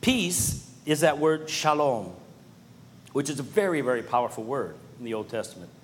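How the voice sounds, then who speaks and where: A man preaching a sermon from the pulpit. His delivery rises to emphatic points and falls to hushed, earnest lines. His voice carries a long reverb from a large hall. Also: medium pitch, expressive, moderate pace. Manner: preaching with swells of emphasis and quiet drops. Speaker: pastor.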